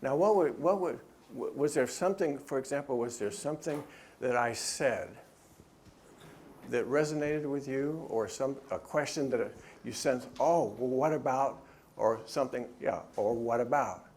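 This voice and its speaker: Brief: middle-aged man, author at a church talk, earnest, halting, medium pitch, faint noise behind. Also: a little mellow. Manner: earnest, often halting with restarts. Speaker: author and speaker. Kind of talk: church talk with questions from the audience.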